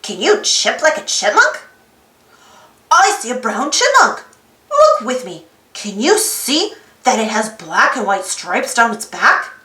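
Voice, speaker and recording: A woman reading a children's storybook aloud in an expressive, sing-song way, putting simple questions to young listeners. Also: a little high, a little slow, echoey room. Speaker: storybook reader. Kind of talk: children's read-aloud narration.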